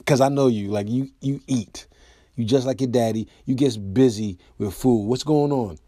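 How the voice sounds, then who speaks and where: An American man hosting his own podcast, telling comic stories in a loose, animated way. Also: medium pitch, moderate pace, no echo, clean studio sound. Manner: animated storyteller, acts out quoted voices. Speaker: podcast host and comedian. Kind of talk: casual storytelling monologue.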